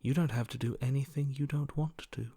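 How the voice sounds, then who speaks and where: A cold, detached male voice from a video game, sitting at a medium pitch. He is blunt and dismissive, sounding bored by everything. The sound is very dry, with no room on it. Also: steady tone, a little fast, mellow, husky, no echo. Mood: coolly pragmatic.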